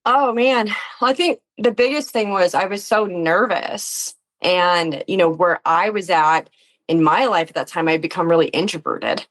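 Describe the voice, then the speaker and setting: A woman's voice: fairly low pitch, normal speed, a bit bright. A woman chatting casually as an interview guest, informal and self-effacing. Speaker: interview guest, tabletop game performer. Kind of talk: casual chat.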